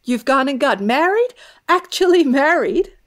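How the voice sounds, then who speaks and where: An actress playing a spirited, blunt, affectionate young tomboy in an audio drama, exclamatory and teasing. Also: female voice, high voice, expressive, a little slow. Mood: overjoyed, astonished.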